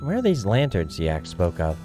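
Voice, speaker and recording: A man reads a young witch girl in a game. She sounds earnest and worried, plain-spoken with an animated lilt, over faint music. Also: low-pitched, moderate pace. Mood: resolute. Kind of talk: voiced game dialogue. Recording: music behind.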